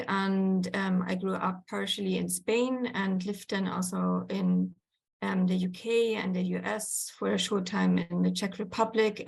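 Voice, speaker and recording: Creaky-voiced woman lecturing online. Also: medium pitch. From Germany. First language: German. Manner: hesitant, many fillers and restarts. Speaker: guest lecturer. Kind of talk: online workshop presentation. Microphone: video call.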